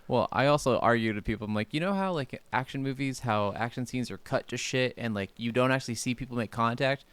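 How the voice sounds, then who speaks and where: A man's voice: medium pitch, fast talker, a bit bright, smooth, dry recording. A man co-hosting a podcast, speaking casually and informally, opinionated and free with his swearing. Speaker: podcast co-host. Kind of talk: casual chat.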